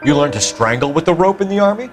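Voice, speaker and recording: Male, actor playing driven 1940s detective for a crime game. Clipped, formal, insistent, with highly varied intonation. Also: lively intonation, fast, over music, natural voice. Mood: pressing, stern. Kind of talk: dramatic period crime game acting.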